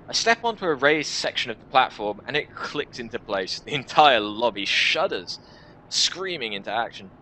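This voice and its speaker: Guy doing a gaming vid, reading the story out all dramatic, lively up and down voice, bit of noise behind him. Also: medium pitch, rough recording. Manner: expressive, theatrical reading. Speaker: gaming video host. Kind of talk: dramatic read-aloud of a visual novel with casual asides.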